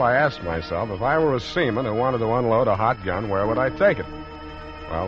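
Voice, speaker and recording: An actor playing a wisecracking hardboiled private detective, wry and deadpan, in 1940s radio style. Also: male voice, mid-range voice, natural voice. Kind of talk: 1940s radio acting with narration. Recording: muffled 1940s radio recording, noisy music.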